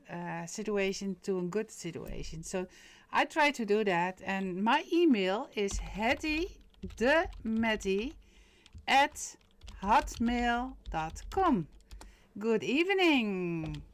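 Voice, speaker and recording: Slow-talking Dutch woman on a live stream. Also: mid-range voice. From Netherlands. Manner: hesitant, many fillers and restarts. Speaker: medium hosting a live stream. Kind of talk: casual live-stream chat.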